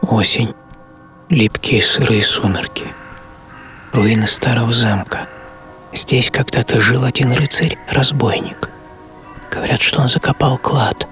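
An actor playing an obsessive middle-aged entrepreneur in a dramatic first-person radio monologue, speaking theatrically with pauses and half-whispered phrases. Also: male voice, mid-range voice, fairly even intonation, fairly bright tone, natural voice. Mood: hushed, eerie. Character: obsessive middle-aged entrepreneur and treasure hunter recalling boyhood. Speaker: author and performer of a radio programme. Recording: music under studio voice.

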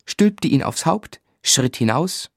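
A man's voice: on the high side, animated, slow pace, exaggerated voice. An actor playing a grown man who recounts his childhood reflectively, as the narrator of a literary radio drama. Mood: wry storytelling. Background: music.

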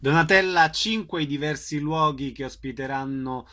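A man's voice: on the high side, moderate pace, pushed and bright. A man hosting an interview, introducing his guest and putting questions to her. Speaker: interviewer, host.

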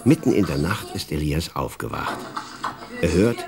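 A man narrating a children's radio drama as an expressive, wry storyteller. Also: a bass voice, moderate pace, vocal fry, music in the background.

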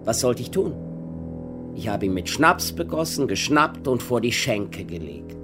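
Haughty, fanatical papal envoy, widely swinging pitch. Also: male voice, sing-song, measured pace, music in the background. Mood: cold, self-righteous. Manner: haughty, vehement, erupting into shouts. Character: fanatical, arrogant papal nuncio, scheming and ruthless. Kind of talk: German radio play acting.

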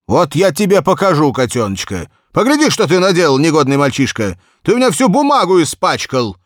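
A man's voice: on the high side, big pitch swings, a little fast, dry recording, clean studio sound, voice pushed up. A man voicing an angry, stern father in a children's audiobook, barking indignant commands as he scolds. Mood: angry scolding. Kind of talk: audiobook character acting.

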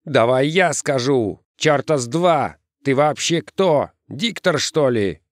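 A man voicing a rowdy, foul-mouthed, bullying boy from a gang, shouting crude slang in a story. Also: a little high, measured pace, no echo, studio quality, voice pushed up, close to an everyday voice. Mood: aggressive, rowdy.

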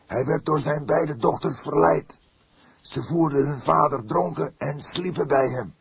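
A man's voice: a little high, measured pace, vocal fry, husky, voice pushed up, exaggerated voice. A man voicing a fervent, pious religious father in an audiobook, speaking in a solemn, declamatory way. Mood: fiery, solemn. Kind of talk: audiobook character acting.

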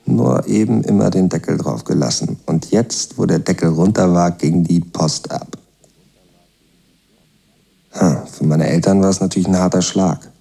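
An actor playing a self-satisfied young Soviet rock musician in a radio drama, talking in blunt, offhand slang with a gravelly, husky voice. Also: male voice, deep voice, moderate pace, warm tone, heavily acted. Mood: cocky, satisfied. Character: self-satisfied long-haired Soviet rock musician, laconic rebel son. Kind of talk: radio drama monologue, colloquial.

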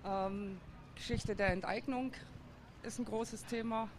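A woman's voice: fairly high pitch, fairly even intonation, measured pace. A woman speaks outdoors on a busy city square with a faint hum of traffic behind her. She answers questions in a thoughtful, hesitant way. She often stops to search for words. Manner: thoughtful, hesitant with many fillers. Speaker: interviewee, district councillor. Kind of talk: street interview.

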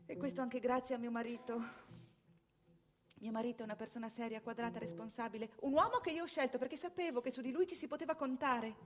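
A woman's voice: on the high side, fast, lightly acted. An actress playing a proud, anxious, self-righteous married mother in an opinionated theatrical monologue full of rhetorical questions. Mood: earnest, proud.

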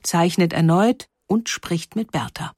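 A woman's voice: fairly low pitch, measured pace, a little mellow, no room sound, studio quality. A woman reading a scripted documentary narration in a composed, carefully phrased way.

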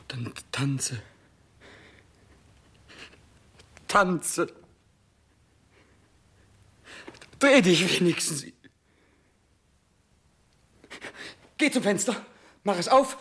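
Raging, childish monarch facing death; theatrical, imperious, forceful bright voice, radio drama. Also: male voice, high voice, sing-song, measured pace, full character voice. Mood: pleading commands. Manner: imperious, theatrical, swinging from murmurs to shrieks. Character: proud, desperate dying king, childish and raging. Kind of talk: 1960s German radio play acting.

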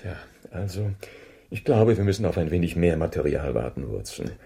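An actor playing a keen, self-assured male detective in a German radio drama, deliberate and musing as he questions and deduces. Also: low voice, big pitch swings, vocal fry, mellow, breathy edge, full character voice. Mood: measured, reassuring.

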